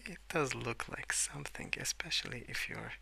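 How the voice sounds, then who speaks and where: A man speaking in a soft, hushed ASMR ramble, drifting between murmur and whisper in a hesitant, unhurried way. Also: mid-range voice, wildly swooping pitch, creaky, slightly rough. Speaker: host of an ASMR colouring channel. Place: indoors at a wooden desk.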